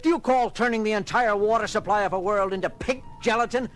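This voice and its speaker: A man's voice: high-pitched, quick pace, fairly bright tone, soft background music. A man playing an indignant, authoritative starship captain in a science fiction audio drama, with emphatic, exasperated delivery.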